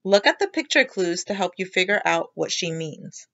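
Creaky-voiced woman reading a storybook aloud. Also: fairly low pitch, expressive, moderate pace, sharp tone. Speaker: teacher reading aloud to children. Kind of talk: storybook read-aloud narration. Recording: slightly dull, lo-fi sound.

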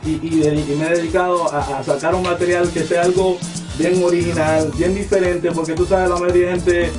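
A man's voice: sits high, little pitch movement, normal speed. A man from Honduras, a music artist talking casually in a radio interview, hesitant with many fillers and restarts. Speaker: reggaeton artist guest. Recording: distant mic with music playing behind.

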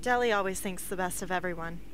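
A woman's voice: lowish voice, quick pace, a bit bright, a little hiss or hum, lightly acted. A woman playing a guarded, skeptical, terse teenage heroine in an amateur radio play. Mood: reserved.

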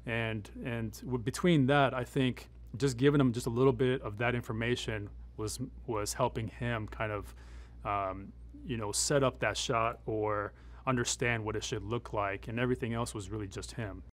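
A middle-aged man speaking as a military advisor in an interview, explaining things in a conversational, measured, matter-of-fact way. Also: medium pitch, fairly even intonation. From United States, born in Afghanistan. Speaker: military advisor and former Green Beret.

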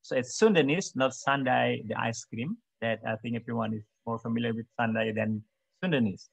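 Guy giving a webinar talk, steady middle pitch, dry close sound, lots of uh and for example. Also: vocal fry, slightly rough, dry recording. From Indonesia. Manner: measured, repeats "for example" often, pauses with "uh". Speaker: webinar speaker. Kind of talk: academic presentation over video call. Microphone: video call.

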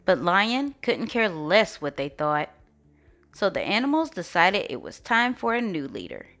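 A woman reading a children's storybook aloud as storyteller, in an expressive, deliberate way. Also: lowish voice. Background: faint music.

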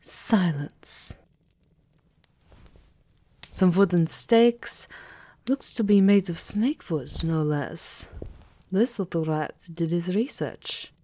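A woman's voice: lowish voice, drawn-out words, long pauses, mellow. A woman playing a commanding aristocratic vampire countess in an ASMR roleplay, with a theatrical, teasing menace. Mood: cold, menacing.

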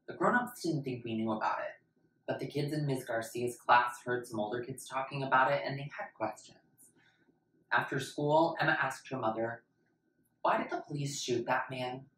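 Young woman, expressive book reader, roomy sound, faint noise behind. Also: a bass voice, moderate pace. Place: plain-walled room indoors. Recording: echoey room with background noise.

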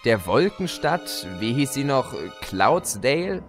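A man's voice: medium pitch, big pitch swings, takes it slowly, soft background music. A man playing a sly, gloating spirit of chaos in a German audio drama, mocking and theatrical. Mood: smug narrating.

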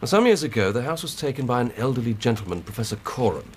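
An English actor playing an earnest, plain-spoken police inspector in a radio drama, reporting methodically and emphatically. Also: male voice, mid-range voice, big pitch swings, fast, a little hiss or hum, lightly acted. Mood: earnest, matter-of-fact. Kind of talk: 1990s British radio drama acting.

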